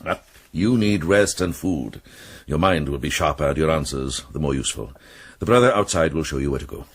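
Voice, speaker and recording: An actor playing a weary, kindly and protective monk in a radio drama, speaking gently with measured, quiet authority. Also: male voice, extremely low pitch, lively intonation. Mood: kindly, firm.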